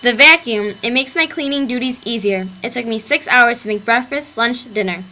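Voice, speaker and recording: A woman's voice: on the high side, moderate pace, background noise, close to an everyday voice. A woman playing a chirpy, dutiful 1920s housewife in a mock advert. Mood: chirpy, sales pitch.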